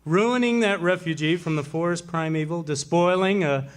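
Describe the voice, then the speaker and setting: Pompous Shakespearean-wannabe pitchman, played by a man; notably high voice, florid theatrical delivery, old-time radio comedy. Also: normal speed, natural voice. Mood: indignant. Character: pompous, hammy male pitchman fancying himself a Shakespearean actor. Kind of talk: 1940s radio comedy acting.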